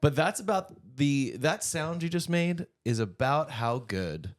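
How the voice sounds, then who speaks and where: A man's voice: fairly high pitch, animated, measured pace. A man co-hosting a podcast, chatting casually and telling playful, jokey stories. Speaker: podcast co-host. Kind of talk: casual chat.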